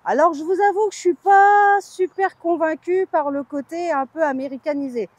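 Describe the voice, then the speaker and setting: A woman's voice: extremely high pitch, moderate pace, no room sound. A woman from France hosting a vlog, chatting informally with a playful, candid manner. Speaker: vlog host. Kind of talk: chatty theme park news vlog.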